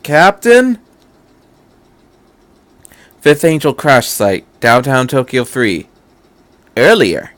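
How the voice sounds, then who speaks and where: A man's voice: mid-range voice, animated, takes it slowly, fairly bright tone, no room sound. A man narrating a scripted fan fiction story as an amateur audiobook reader.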